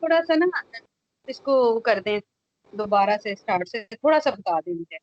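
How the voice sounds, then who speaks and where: High-voiced female student, dull call audio. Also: big pitch swings, moderate pace. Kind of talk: casual hesitant request in online class.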